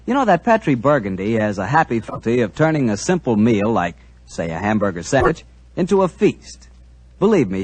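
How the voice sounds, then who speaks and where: Male announcer, 1940s radio, lively pitch, husky, dry sound. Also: no echo. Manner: smooth, genial salesman.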